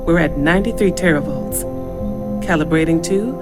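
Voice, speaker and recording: An actress playing a focused, brisk scientist in a video game, precise and businesslike, with a careful but impatient manner. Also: female voice, deep voice. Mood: puzzled concentration. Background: music.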